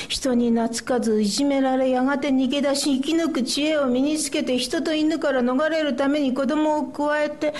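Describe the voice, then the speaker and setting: A woman from Japan, a poet speaking English with a Japanese accent and Japanese as her first language. She talks haltingly with many fillers and laughs, then reads her poems aloud at a live festival reading. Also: high voice, darkish tone, slight background noise. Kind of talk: live poetry reading with chatty introduction. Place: festival stage before an audience.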